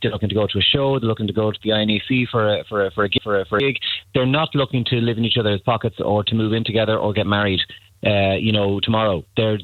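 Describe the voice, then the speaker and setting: A low-voiced man talks bluntly and emphatically, doubling back on his words as he gives dating advice. His voice comes through a thin, tinny phone line, and the sound is dry and close. He sounds direct and sure of himself. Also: no room sound. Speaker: matchmaking agency guest. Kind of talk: radio call-in advice.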